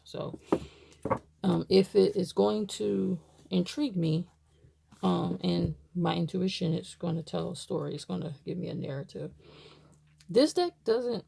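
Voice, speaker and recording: A woman's voice: on the low side, vocal fry, a little mellow, breathy edge. A woman from Baltimore chatting casually to camera, rambling with many fillers as she shows items. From Baltimore, USA. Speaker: tarot deck reviewer.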